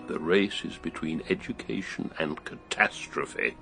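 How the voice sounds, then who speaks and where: Disillusioned gentleman narrator, low gravelly voice, solemn, philosophical, radio drama, music behind. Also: a little slow. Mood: grave, weary.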